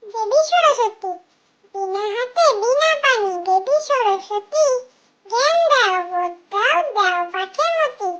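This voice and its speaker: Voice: very high voice, lively intonation, slow, pushed and bright, exaggerated voice. A performer voicing a small cartoon child who chants nursery rhymes in a simple, repetitive sing-song.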